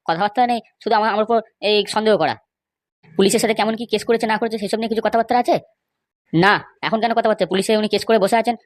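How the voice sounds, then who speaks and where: A man's voice: sits high, dry recording, close to an everyday voice. A man with a West Bengal accent voicing argumentative, indignant men in an amateur comedy cartoon, scolding and insistent. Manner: scolding, insistent, rhetorical questions.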